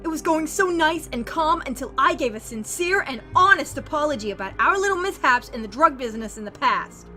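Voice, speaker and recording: A woman's voice: high voice, animated, brisk, few pauses, bright, forceful tone, soft background music. A woman acting a bitter, sarcastic, combative sister who survives in a zombie apocalypse audio drama. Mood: sarcastic, accusing. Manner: sarcastic, confrontational, swearing.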